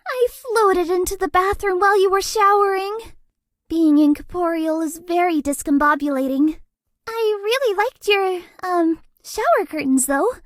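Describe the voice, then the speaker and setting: High-pitched, sweet, shy ghost girl. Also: moderate pace, no echo, clean studio sound. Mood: flustered, apologetic. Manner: hesitant, bubbly, gushing, self-deprecating. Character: sweet, shy, apologetic young female ghost, cute and awkward. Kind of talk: cute video game character acting.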